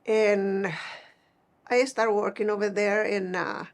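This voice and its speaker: A middle-aged woman with a northern Mexican Spanish accent and a medium-pitched voice shares personal stories in an interview. Her English is plain-spoken and somewhat halting, in a conversational style. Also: steady tone. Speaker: seamstress being interviewed.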